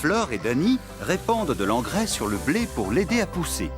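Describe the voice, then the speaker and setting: A man's voice: fairly high pitch, wildly swooping pitch, moderate pace. A man narrating a children's cartoon story from a script. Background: prominent music.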